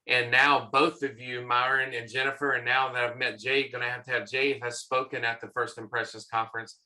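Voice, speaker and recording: A man's voice: mid-range voice, flat delivery, fairly quick, pushed and bright, poor audio. A middle-aged man with a Southern US accent, a church consultant talking as a podcast guest in a friendly, rambling way. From American South. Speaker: church consultant and conference leader.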